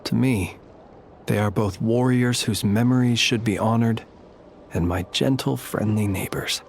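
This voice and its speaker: A man voicing a solemn, gentle and courteous guardian of the dead in a video game, speaking formally and with reverence. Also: fairly low pitch, measured pace, creaky, a little hiss or hum.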